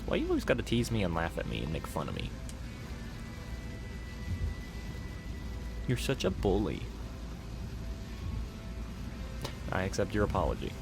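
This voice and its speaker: A man playing a tired, grumbling but affectionate boyfriend on a night drive, soft-spoken in a casual, rambling roleplay ASMR style. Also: sits low, wildly swooping pitch, rapid-fire, vocal fry, full character voice. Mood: playfully offended. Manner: casual, rambling, sighing, mock-grumpy. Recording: music behind the voice.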